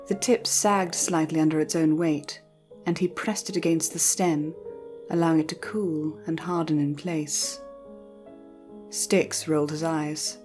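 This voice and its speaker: A woman storyteller reading scripted fiction narration in a measured, careful way. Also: low voice, dark tone.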